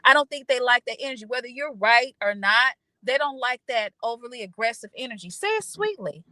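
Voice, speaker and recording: A woman with a high, bright, forceful voice talks animatedly on a relationship podcast. Her delivery is lively, and she lilts into a sugary tone when giving examples. The sound is close and dry, with no room behind her. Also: a little fast, no echo. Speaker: podcast co-host. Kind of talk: casual chat.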